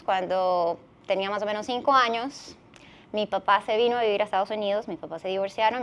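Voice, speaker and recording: A woman in her thirties with a Venezuelan accent, telling a personal story conversationally as a podcast guest. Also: mid-range voice.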